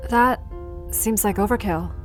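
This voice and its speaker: A woman's voice: medium pitch, unhurried, soft background music, close to an everyday voice. A woman playing a playful, nosy, blunt young woman in an audio drama, casual and teasing. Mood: surprised.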